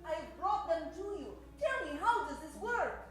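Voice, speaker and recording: A woman's voice: very high voice, animated, moderate pace, fairly bright tone. A woman in improvised radio comedy, playing an adoring, eager female assistant in a gushing, melodramatic way. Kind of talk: improvised old-time radio comedy acting. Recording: echoey stage, distant mic, faint music.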